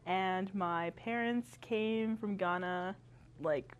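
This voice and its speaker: A woman's voice: medium pitch, slow pace, clear, resonant tone, dull audio. Chatty young Canadian woman. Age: young adult. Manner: chatty, laughs often. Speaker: guest. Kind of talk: casual chat.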